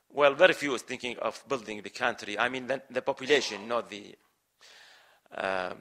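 Older Iraqi-accented man with a bright, forceful voice. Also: fairly low pitch, gravelly. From Iraq. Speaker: guest lecturer, professor of medicine. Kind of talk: answering audience questions at a public lecture. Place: large lecture hall. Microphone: podium microphone.

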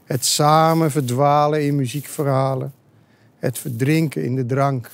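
Male poet for verse recital: medium pitch, unhurried, deliberate phrasing. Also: steady tone, vocal fry, no echo. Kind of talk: poetry recital.

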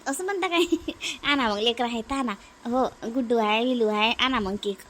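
A woman's voice: fairly high pitch, moderate pace, a bit bright, a little hiss or hum, pitched below the performer's own voice, lightly acted. A woman voicing a compliant, chatty young mother in a family cartoon, explaining things in a Varhadi Marathi accent. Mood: agreeable.

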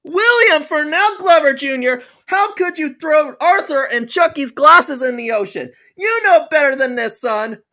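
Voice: medium pitch, expressive, moderate pace, a bit bright, natural voice. A performer voicing an angry, scolding cartoon father with dramatic, repetitive exclamations. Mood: furious scolding. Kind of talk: amateur animated grounding video dialogue.

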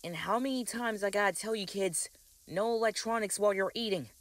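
A woman playing an older grandmother in a motion comic, warm, firm and patient, with gentle, folksy talk. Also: mid-range voice, brisk, dry recording, natural voice. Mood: scolding. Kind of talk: scripted motion comic voice acting. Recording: loud music behind the voice.

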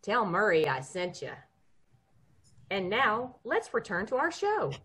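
A woman performs a reassuring spokeswoman in an old-time radio commercial. Her voice is husky and highly animated, with a folksy sales manner. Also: unhurried, heavily acted. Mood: reassuring, salesy. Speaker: commercial announcer. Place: lamp-lit living room at home. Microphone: video call.

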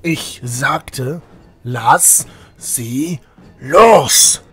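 A man playing a brave, desperate young priest making drawn-out shouted demands in a fantasy audio drama. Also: mid-range voice, music in the background. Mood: defiant. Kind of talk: fan-made fantasy audio drama acting.

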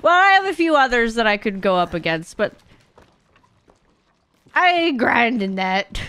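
A woman's voice: a little high, sing-song, a little slow, a bit bright, smooth. A young adult woman giving casual, blunt, sweary live-stream commentary while speedrunning a game. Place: small indoor streaming room at home.

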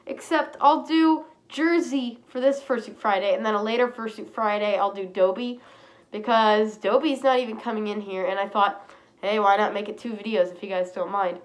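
A woman talks casually straight into a webcam in a small indoor room, her voice thick and stuffy from a cold. She rambles along, keeps clearing her throat, and is picked up close by the built-in mic. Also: medium pitch, normal speed, poor audio. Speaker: fursuit vlogger. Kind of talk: casual chat to camera.

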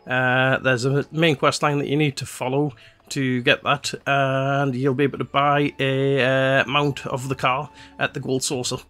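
A man's voice: medium pitch, a little slow, faint music behind. A man hosting a let's play, chatting casually about his gameplay in a rambling way with many fillers. His nose is slightly congested from a cold. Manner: relaxed, rambling, with frequent "uh" fillers.

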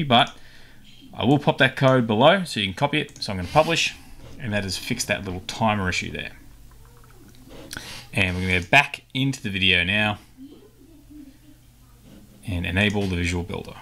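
A man hosting a casual software tutorial, talking informally and a little hesitantly as he thinks aloud. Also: on the low side. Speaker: tutorial host. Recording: clicks and typing, faint background noise. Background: keyboard typing and mouse clicks.

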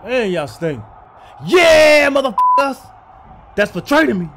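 A man's voice: high-pitched, wildly swooping pitch, measured pace, background noise, exaggerated voice. A man voicing a cocky basketball player who talks trash with slangy swearing in a comic dub. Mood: cocky, taunting. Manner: taunting, profane, slangy. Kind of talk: comic dubbing of basketball footage.

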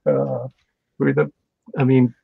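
A man's voice: mid-range voice, vocal fry, husky, no room sound, narrow, tinny audio. A man from Hawaii co-hosting a casual chat, friendly and laughing often as he explains things. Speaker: co-host.

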